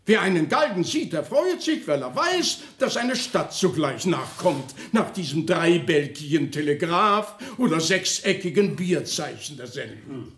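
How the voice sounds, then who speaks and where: An actor playing an officious, mocking official who declaims a grandiloquent satirical speech in a radio play. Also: male voice, a little high, sing-song, unhurried, hardly any pauses, a bit bright. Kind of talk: German radio play acting. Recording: roomy, echoing radio studio sound.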